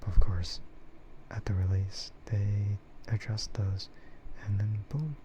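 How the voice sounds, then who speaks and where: A man softly whispering an unhurried, rambling ASMR livestream chat in a breathy voice, close to the microphone. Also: on the low side, steady tone, muffled recording, a little hiss or hum. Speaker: livestreamer and content creator.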